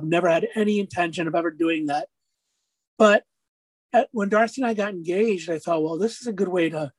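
A man with a raspy speaking voice, a musician guest on an interview, talking casually and rambling through stories with lots of fillers. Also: high voice, no echo, low-quality recording. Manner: rambling storyteller, many fillers.

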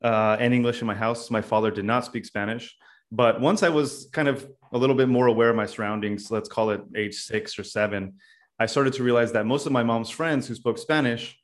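A young man from California, a podcast guest telling his story in a loose, casual way with many pauses and "uh"s. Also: medium pitch. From California, USA. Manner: loose, thinks aloud with many "uh"s.

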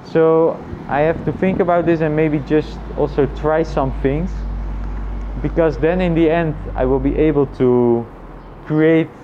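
A man thinking aloud in a casual, reflective personal vlog, with halting phrasing and frequent repetition. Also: fairly high pitch, steady tone, dull audio, slight background noise. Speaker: vlogger.

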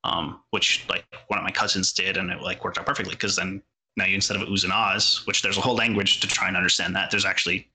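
Low, husky man chatting casually. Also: flat delivery, quick pace, sharp tone, low-quality recording. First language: Portuguese. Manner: rambling, heavy on filler words, laughs often. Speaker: podcast guest. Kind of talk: casual interview chat.

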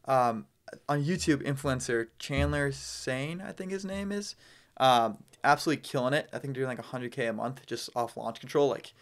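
A man's voice: mid-range voice, normal speed. A man with a mid-range voice speaks as a guest in a casual podcast chat. He explains things loosely and informally, peppering his sentences with slang and filler. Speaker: podcast guest, real estate business owner.

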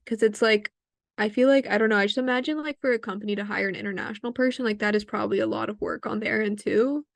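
A young woman with a gravelly, creaky voice chats casually with a friend. She talks in a loose, hesitant way full of fillers, and the recording is dry and close. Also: medium pitch, quick pace, no room sound. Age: young adult. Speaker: podcast co-host. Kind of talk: casual chat.

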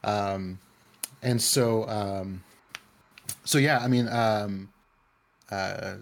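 A man's voice: on the low side, steady tone, extremely slow, creaky. A man from the Bay Area talking casually in an interview, rambling with lots of fillers and restarts. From California Bay Area. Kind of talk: casual conversation.

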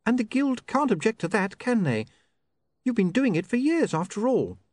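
A man voicing a prim, sharp young lady, indignant and suspicious, in an audiobook story. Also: high-pitched, very animated, moderate pace, no room sound, pitched above the performer's own voice. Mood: prim, doubtful.

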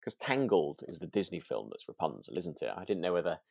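A man's voice: low-pitched, sing-song, a little fast, gravelly, a little mellow, dry recording, studio quality. A man, chatting informally as a podcast co-host and often checking for agreement. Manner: informal, tag questions seeking agreement. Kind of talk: casual chat about a radio drama.

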